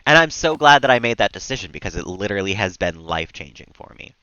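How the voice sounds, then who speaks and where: Calm, low-voiced man streaming games. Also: fast talker, clear, resonant tone, no echo, highs cut off. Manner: calm, relaxed, rambling. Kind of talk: casual chat while gaming.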